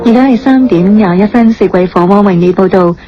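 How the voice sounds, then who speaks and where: A woman's voice: mid-range voice, a little fast, faint music behind. A woman reading a scripted, sponsored time check as a radio announcer. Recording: dull radio broadcast, faint noise.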